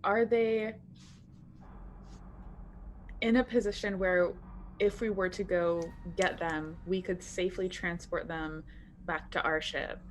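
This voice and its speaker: A woman's voice: on the low side, steady tone, slow pace, pauses often, slight background noise. A woman playing a tabletop roleplaying game, talking conversationally as her character.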